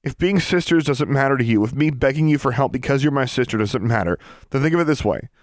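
A man's voice: on the low side, fast, a little mellow, no echo, natural voice. A man voicing a desperate young girl who pleads insistently and defiantly in an audiobook story. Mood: desperate pleading.

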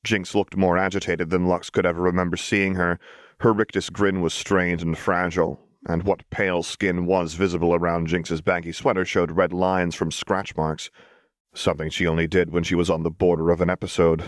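A man narrates scripted fiction in a low-pitched voice. He reads as an audiobook narrator, and the recording is dry, with very little room sound. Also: level tone, normal speed, no room sound.

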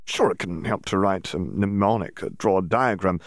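A man's voice: sits low, moderate pace, dry recording, studio quality. A man voicing a smug, self-assured teenage schoolboy who talks dismissively, in an audiobook story.

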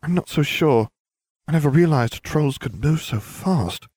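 A man voicing an eager, know-it-all schoolgirl who explains things rapidly and earnestly. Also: a little high, lively intonation, a little mellow, dry recording, pitched above the performer's own voice.

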